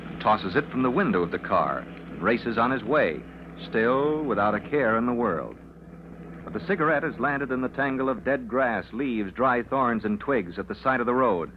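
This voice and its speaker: A man giving dramatic, scripted narration in the style of a 1940s radio serial. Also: lowish voice. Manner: dramatic, storytelling. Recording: muffled old radio recording, faint noise.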